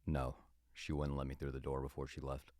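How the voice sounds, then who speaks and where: Very deep, monotone police officer. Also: male voice, fairly quick, dry recording, clean studio sound. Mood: dry, knowing. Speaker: actor in an audio drama.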